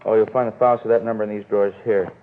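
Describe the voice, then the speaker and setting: A man's voice: on the low side, level tone, rapid-fire, lightly acted. A man acting a friendly, chatty, casual records clerk in a 1950s radio drama. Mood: easygoing. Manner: easygoing, chatty. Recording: vintage tinny band-limited radio recording.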